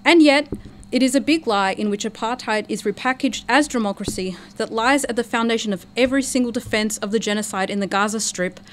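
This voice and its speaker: A woman chairs a public forum, reading a prepared speech and giving announcements in a steady, emphatic way. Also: on the high side, brisk, a little hiss or hum. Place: lecture room at a public forum.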